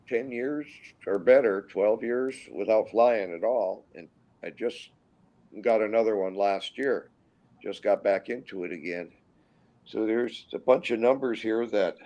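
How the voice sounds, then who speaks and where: A man in his sixties from Minnesota talks in a low, mellow voice. He rambles through his stories, stopping and restarting often. The audio is dull and lo-fi. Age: 60s. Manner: rambling, halting, with frequent restarts. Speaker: guest pilot. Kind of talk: casual storytelling interview.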